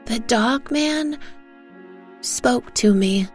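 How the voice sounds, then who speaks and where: A woman's voice: a little high, very slow, soft background music, pitched above the performer's own voice. A woman voicing a sweet, earnest little girl with childlike, simple phrasing in an audio storybook. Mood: frightened, serious. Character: sweet, earnest little girl telling her mother nightmares.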